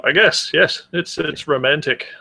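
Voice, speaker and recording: A man's voice: a little high, a little slow, creaky, bright, forceful tone, no echo. A man co-hosting a podcast in casual banter, with a sardonic, sweary way of talking. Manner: sardonic, profane. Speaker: podcast co-host.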